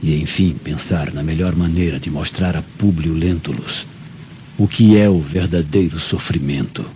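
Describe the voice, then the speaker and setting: Older grieving father, very low voice, solemn, vengeful, radio drama, stormy muffled recording. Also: moderate pace. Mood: bitter, vengeful. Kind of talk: dubbed radio drama acting. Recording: muffled, noisy with rain and thunder.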